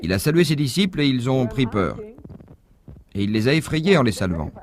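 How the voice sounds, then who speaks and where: A man giving a measured, didactic religious lecture as a voice-over, posing rhetorical questions to the audience. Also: medium pitch, sing-song. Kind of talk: dubbed lecture, explaining a point.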